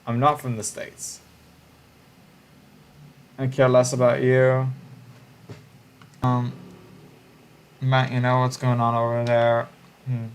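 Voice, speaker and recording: A man's voice: medium pitch, monotone, takes it slowly, pauses often, slight background noise. A man, chatting casually on a livestream in a musing, hesitant way with frequent restarts. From Israel.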